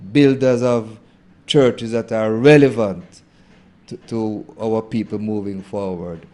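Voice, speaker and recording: A man, a theologian answering an interview question in a thoughtful, halting way with many fillers. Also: mid-range voice, dark tone. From Jamaica.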